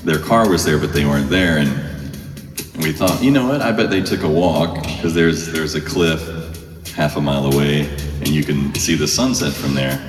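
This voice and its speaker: Male stage storyteller, low voice, colloquial and wry, heard from a distance in an echoing hall. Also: normal speed. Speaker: performer telling a story on stage. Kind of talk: humorous live storytelling to an audience. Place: large hall, live stage show. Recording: echoey hall, distant, music behind.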